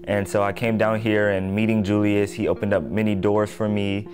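A teenage basketball player talking in an interview, even and a little hesitant. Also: male voice, fairly low pitch, normal speed, music in the background. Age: teens. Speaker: high school basketball player. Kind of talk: casual interview.

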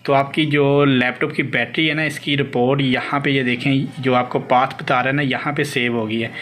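A man giving an unscripted, step-by-step tech tutorial, instructing and repeating phrases as he demonstrates. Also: medium pitch. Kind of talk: hands-on spoken tutorial, unscripted. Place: indoors at a desk with a laptop. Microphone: handheld phone behind the camera. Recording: clearly noisy homemade phone recording.

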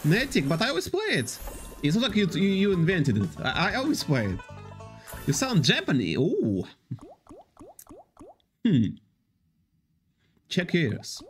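Lively man streaming casino slots. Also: sits high, measured pace, pauses often, soft background music. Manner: reads and answers chat, loose non-native English with repetitions. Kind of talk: live stream chat with viewers.

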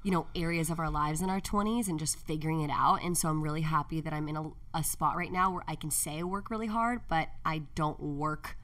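Low-voiced woman in her twenties, earnest. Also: fairly quick, hardly any pauses, a bit bright, dry recording, distant mic. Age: 20s. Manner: earnest, storytelling, many "like" fillers. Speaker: podcast guest. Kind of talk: casual interview chat. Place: podcast studio with window. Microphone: studio mic on stand, headphones.

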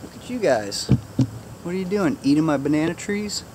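A man casually showing viewers around his backyard garden outdoors, chatty and relaxed, joking with his animals. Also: a little high, moderate pace, noisy background. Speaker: gardener giving a backyard tour. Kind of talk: casual walk-through narration.

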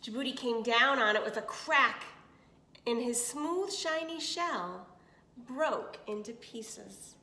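A woman with a slightly high voice reads a children's picture book aloud. She is expressive and breaks off for small asides and questions. The room around her is clearly echoey. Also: slow pace. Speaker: storyteller reading aloud to children.

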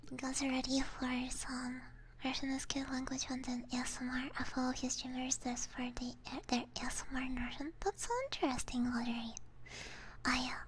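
A woman whispering softly and breathily, chatting casually and gently to stream viewers into a close binaural microphone. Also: high-pitched, flat delivery, a little fast. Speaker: ASMR streamer, host. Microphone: binaural ASMR microphone up close. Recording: faint background noise.

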